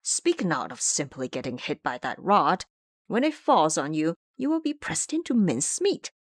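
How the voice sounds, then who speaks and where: A woman's voice: low-pitched, big pitch swings, moderate pace, no echo, clean studio sound. A woman voicing a frightened male temple laborer in an audio drama, anxious and protesting. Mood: panicked protest.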